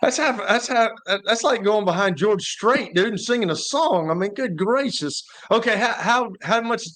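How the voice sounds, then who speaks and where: American guy cohosting sports show, kinda higher voice, casual chat. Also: expressive, normal speed, fairly bright tone. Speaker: sports talk show co-host.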